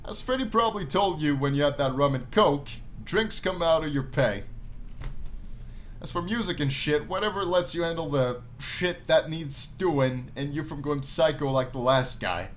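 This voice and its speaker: A man's voice: fairly high pitch, moderate pace, fairly bright tone, slight background noise, natural voice. A man voicing a world-weary, blunt, slangy male nightclub boss in a visual novel game. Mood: weary, warning.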